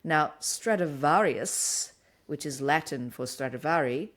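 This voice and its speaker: A woman's voice: low voice, expressive, measured pace. A woman co-hosting a talk show, explaining a topic with enthusiasm and an easy laugh. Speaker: podcast co-host. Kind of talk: casual chat.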